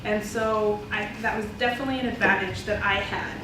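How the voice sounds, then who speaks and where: A woman whose first language is Spanish, from Idaho, telling her story to an audience in a relaxed way with many ums. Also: mid-range voice, fairly quick. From Idaho, USA. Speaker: panelist, ESL instructor. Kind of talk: personal talk to an audience. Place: college symposium hall. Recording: echoey hall, mic far off, noisy.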